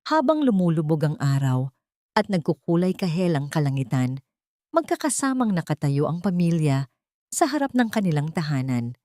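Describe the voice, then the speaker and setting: A woman reading a scripted dramatic story in a measured, sentimental storytelling manner. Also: low-pitched, very animated, dark tone, no room sound, studio quality. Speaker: audio drama narrator.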